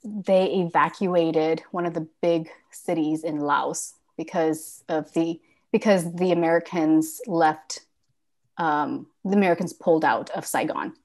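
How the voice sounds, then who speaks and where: A woman's voice: low voice, a little slow, darkish tone. A woman guest speaking reflectively in casual conversation, with many fillers and restarts. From born in Thailand.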